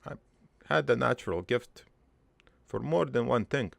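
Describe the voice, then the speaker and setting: A man playing a gruff, crude army veteran turned prankster, telling his regretful life story in a halting, matter-of-fact ramble for an improvised cartoon monologue. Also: medium pitch, animated, dry recording. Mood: matter-of-fact, reminiscing.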